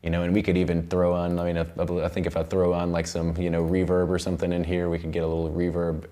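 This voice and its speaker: A man's voice: deep voice, completely flat delivery, fast, dark tone. A man teaching music production in an informal tutorial, rambling loosely and thinking aloud as he works. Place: indoor recording studio.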